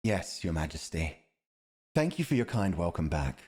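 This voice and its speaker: A man's voice: low voice, very animated, moderate pace, a little mellow, breathy edge, exaggerated voice. A man playing a loyal, principled royal commander in a fantasy audio roleplay, speaking in a grave, formal and dutiful manner. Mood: respectful, grave.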